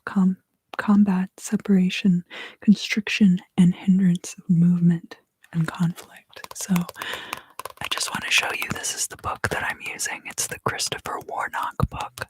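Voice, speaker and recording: A woman giving an ASMR tarot reading in a hushed, breathy whisper, gently and with pauses. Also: fairly low pitch, no room sound. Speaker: tarot reader and host.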